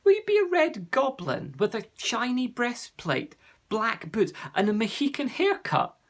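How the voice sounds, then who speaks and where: Polite curious boy voiced by a man, earnest, bit gravelly underneath. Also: very high-pitched, wildly swooping pitch, measured pace, noisy background, pitched above the performer's own voice, full character voice.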